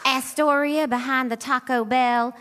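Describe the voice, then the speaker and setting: A woman's voice: high-pitched, fairly even intonation, measured pace, a crowd in the background, background noise. A woman performing a comic staged reading as a scrappy city dog writing a personal ad. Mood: smitten. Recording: live stage with faint audience.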